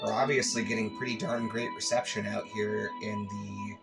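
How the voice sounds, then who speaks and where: A man's voice: lowish voice, little pitch movement, moderate pace, creaky. A man hosting a shortwave listening channel, chatting in an easygoing, hesitant, casual way. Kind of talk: casual chat commentary. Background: music from a shortwave radio.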